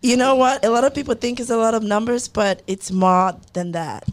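A young woman from Nigeria speaks as a guest in a relaxed chat. She tells her story easily and often fills gaps with "like.". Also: on the high side, brisk. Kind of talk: casual chat.

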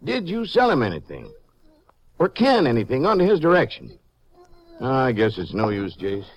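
A man's voice: mid-range voice, wildly swooping pitch, normal speed. A man playing a folksy, coaxing small-town Texas sheriff in a 1950s radio western, talking in a familiar, persuasive way. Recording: dull, hissy 1950s radio recording.

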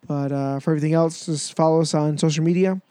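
A man's voice: on the high side, steady tone, fast talker, warm tone, smooth. A man in his twenties hosting a casual podcast, talking loosely and laughing easily. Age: 20s. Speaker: podcast host.

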